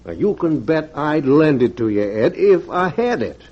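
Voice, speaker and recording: A man's voice: on the high side, big pitch swings, moderate pace. An actor playing an evasive wealthy man, hesitant and excuse-making, in a 1940s radio drama. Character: evasive wealthy male old friend. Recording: dull vintage radio recording.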